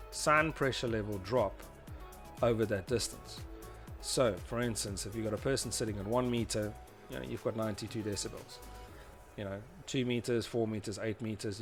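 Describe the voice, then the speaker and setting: A man with a fairly deep voice talks through an instructional presentation. He explains in an informal, slightly halting lecture style. Faint music sits behind his voice. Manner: hesitant, explanatory, often saying "you know". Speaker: instructor presenting a tutorial series.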